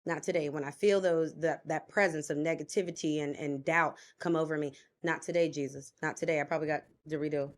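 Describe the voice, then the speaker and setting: A woman's voice: low-pitched, steady tone, fairly quick, few pauses. A woman singer, a guest on a podcast, talking in a casual, playful and chatty way. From Florida.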